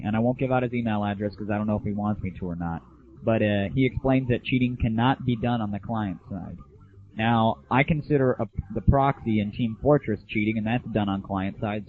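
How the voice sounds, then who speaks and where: A man hosting a gaming audio show, delivering an informal solo rant in a crude, sarcastic, exaggerated griping manner. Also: fairly low pitch. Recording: dull lo-fi 1990s internet audio, phone-like.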